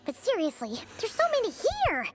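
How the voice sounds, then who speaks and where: A chirpy little floating companion with a squeaky, childlike voice. The pitch sits very high and leaps all over the place. Game music plays clearly behind her. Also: huge pitch swings, normal speed, exaggerated voice. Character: small floating female companion, chirpy and eager. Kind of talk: game character dialogue.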